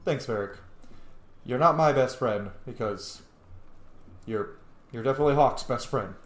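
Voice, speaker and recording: A man streams a game with casual, chatty commentary. His voice has a middling pitch and he talks in a slangy, joking way, with noticeable room echo. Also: measured pace, slight background noise. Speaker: video game streamer and role-player. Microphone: microphone. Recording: echoey room.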